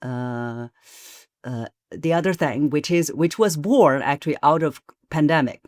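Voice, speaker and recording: A woman voice coach, a podcast guest, explaining in a measured way with frequent pauses and hesitations. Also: deep voice, a little mellow, dry recording, studio quality. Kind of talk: podcast interview.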